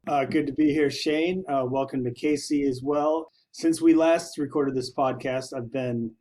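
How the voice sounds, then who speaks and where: Co-host, male, mid-pitched voice, loose chatty delivery. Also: fairly even intonation, a little slow, darkish tone. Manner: chatty, loose, with many fillers and restarts. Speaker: podcast co-host. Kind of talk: casual banter.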